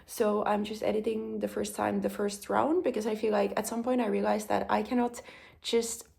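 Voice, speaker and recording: A woman's voice: medium pitch, level tone, normal speed, gravelly, a little mellow. A young woman with a Finnish accent, speaking English as a second language, talking candidly and thoughtfully in a personal vlog, with frequent ums. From Finland. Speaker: vlogger. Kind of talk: reflective personal vlog talk.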